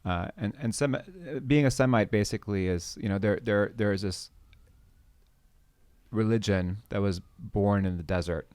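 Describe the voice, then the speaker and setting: A man's voice: on the low side, normal speed, a little mellow, clear, resonant tone, no room sound. A middle-aged man from Canada, a podcast guest talking reflectively with frequent restarts and hesitations. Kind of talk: conversational interview.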